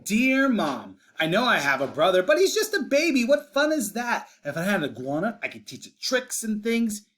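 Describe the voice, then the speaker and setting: An earnest young boy, acted out by a man reading a storybook, coaxing and persuasive. The pitch jumps around in a very lively way as he pleads his case. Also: normal speed, hardly any pauses, heavily acted. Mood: insistent. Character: eager pleading young boy writing letters to mom. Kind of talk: storybook read-aloud for children.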